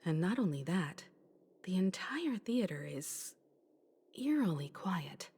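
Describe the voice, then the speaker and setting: Game girl, hushed and careful, dark soft breathy voice, takes her time. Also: fairly low pitch, sing-song, slow, heavily acted. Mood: wary, hushed. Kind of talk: video game acting.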